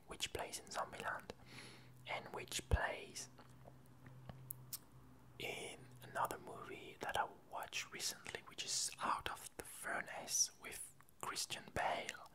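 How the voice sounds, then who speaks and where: Slow, whispered ASMR rambling. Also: sharp tone. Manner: hesitant, unhurried, meandering. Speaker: ASMR channel host.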